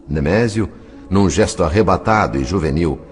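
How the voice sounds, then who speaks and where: A man narrating a dramatised audiobook in a measured, literary, expressive way. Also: sits low.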